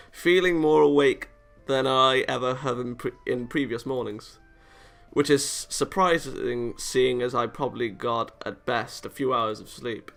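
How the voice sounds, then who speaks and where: Bright-voiced man reading over music. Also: mid-range voice. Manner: wry interjections between read lines. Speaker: let's player reading a visual novel aloud. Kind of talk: casual read-aloud commentary. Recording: music behind the voice. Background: game music.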